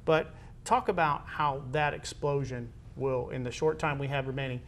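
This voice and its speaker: A man hosting a casual interview show, speaking conversationally and piecing his phrases together haltingly. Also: mid-range voice, fairly even intonation, slight background noise. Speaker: host of a school athletics talk show.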